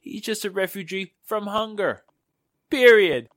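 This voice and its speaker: A man's voice: high voice, unhurried, a bit bright, dry recording, studio quality. A man acting a playful, wisecracking fellow in a 1940s-style radio drama, with jokey, theatrical patter. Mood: playful, wry.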